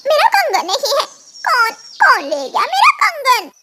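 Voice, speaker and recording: A woman's voice: very high-pitched, wildly swooping pitch, a little slow, very noisy, exaggerated voice. A woman voicing an angry adult female crow in a cartoon, scolding and accusing dramatically. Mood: upset, suspicious. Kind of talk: Hindi animated moral-story dubbing.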